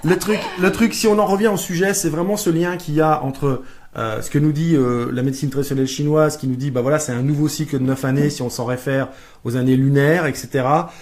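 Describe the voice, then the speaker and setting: A man's voice: fairly high pitch, fairly even intonation, brisk, a little mellow. Chatty man co-hosting a live stream. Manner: jokey, searching for words, playful put-on slang. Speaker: co-host and life coach. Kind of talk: casual live-stream chat.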